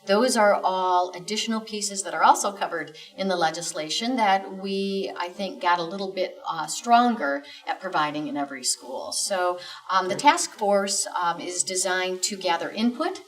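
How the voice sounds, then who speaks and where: Woman w lowish voice explaining stuff in an interview, careful and formal, little off mic. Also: moderate pace, far from the mic. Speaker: guest, school district executive director. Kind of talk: informational interview answers.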